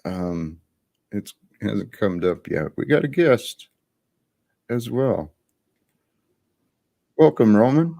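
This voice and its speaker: Slow, low-voiced man hosting a stream. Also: very animated, vocal fry, warm tone. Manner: slow, thoughtful, pausing. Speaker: livestream host. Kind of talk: reflective livestream talk.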